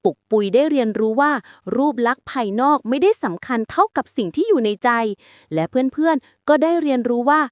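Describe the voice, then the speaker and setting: A woman reading a bedtime story aloud in a slow, soothing way. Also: high voice, expressive, a little mellow, no echo.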